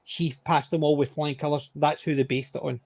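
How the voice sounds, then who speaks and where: A man's voice: a little high, flat delivery, fairly quick, a little mellow, no echo. Joking Scottish man, dry close sound. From Scotland. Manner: joking, self-deprecating, chatty. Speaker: podcast co-host. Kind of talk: casual group chat.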